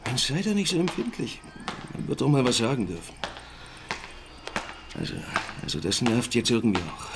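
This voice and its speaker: A young family father in a radio crime drama. His mid-pitched voice moves in big swings between teasing and touchy defensiveness. A faint noise sits behind him. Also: sing-song, moderate pace. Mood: defensive. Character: young father, teasing, easygoing, easily irritated husband.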